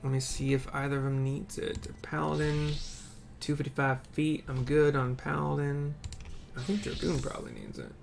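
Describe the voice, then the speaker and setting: A man's voice: mid-range voice, little pitch movement, normal speed, vocal fry. Male let's-play streamer, offhand and rambling, talking half to himself, light background noise and typing. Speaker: gaming streamer. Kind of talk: casual game commentary. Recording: faint noise with keyboard typing.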